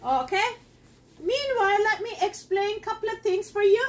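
Middle-aged woman, very high voice, excitable home-kitchen demo host, slightly off-mic. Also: normal speed, recorded from a distance. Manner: chatty and excitable, talks straight to viewers. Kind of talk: live cooking demonstration. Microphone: camera across the counter.

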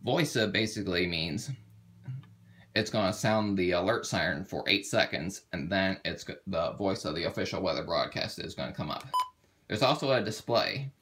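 A man giving a casual, halting how-to walkthrough, thinking aloud as he demonstrates a product. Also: deep voice, expressive, fairly bright tone. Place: indoors at a table. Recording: very noisy home video, clicks and beeps.

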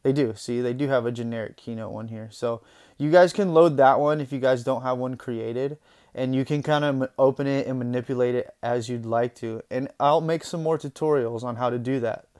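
A young man walks viewers through a software tutorial in a relaxed, chatty way, dropping little exclamations as things work. His voice sounds smooth and clear, with a middling pitch. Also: brisk. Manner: relaxed, conversational, says "boom" and "guys" often. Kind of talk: casual screen-recorded software tutorial.

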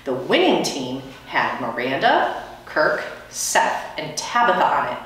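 A woman's voice: a bass voice, big pitch swings, unhurried, fairly bright tone, slight background noise. A middle-aged woman reading a children's book aloud like a schoolteacher. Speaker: teacher, guest reader. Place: small indoor school room. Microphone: camera a few feet away. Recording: very echoey room, distant microphone.

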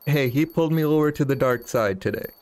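A man's voice: mid-range voice, fairly quick, darkish tone, no echo. A man in his early thirties streaming a fishing game, chatting and teasing his viewers with frequent chuckles. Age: 30s. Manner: chuckles often, teasing banter with chat. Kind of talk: casual live-stream chat while gaming.

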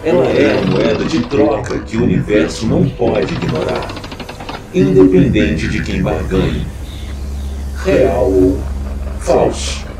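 A man's voice: lowish voice, very animated, slow, background noise. An actor playing a cold, scheming male villain who wants to become a god, speaking in a menacing, condescending, theatrical way. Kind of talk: audio drama acting. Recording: echoey, distant, loud score.

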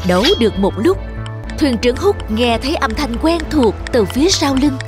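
A woman's voice: on the high side, expressive, moderate pace, over music. A woman reading a scripted fairy tale for children as the narrator.

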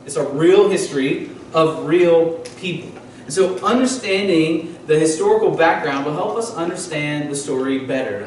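A man in his thirties preaches at a church pulpit, asking his congregation questions as he teaches. His voice sits a little high for a man. It carries through a very echoey sanctuary, caught by a mic set some way off, with a faint background hiss. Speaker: pastor. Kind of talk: sermon. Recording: echoey church hall from far off.

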